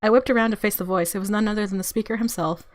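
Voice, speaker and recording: A woman narrating a visual novel aloud as a game streamer, with expressive reactions and music underneath. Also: medium pitch, very fast, no room sound.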